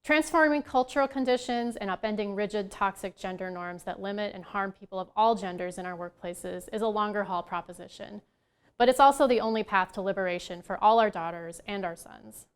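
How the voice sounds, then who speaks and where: A woman delivers a prepared speech in an earnest, deliberate manner. Her voice is smooth and resonant. Her pitch sits in the middle range. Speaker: luncheon speaker.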